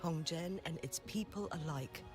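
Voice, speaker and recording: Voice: medium pitch, normal speed, soft background music, natural voice. An actor voicing a polite, formal town official in a game, thanking and advising a visitor. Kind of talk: game voice acting.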